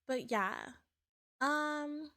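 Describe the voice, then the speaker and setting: A woman streamer in casual, rambling chat, her voice a bit raspy as if she is getting sick, with lots of fillers and restarts. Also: sits high, very slow, sharp tone, no room sound, clean studio sound. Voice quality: slightly raspy.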